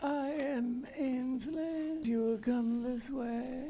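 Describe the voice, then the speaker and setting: An actor playing a very old, officious but not unfriendly butler in a live radio play, formal and deliberate. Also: male voice, very high-pitched, steady tone, dark tone, faint music behind, exaggerated voice. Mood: stiffly formal. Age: older.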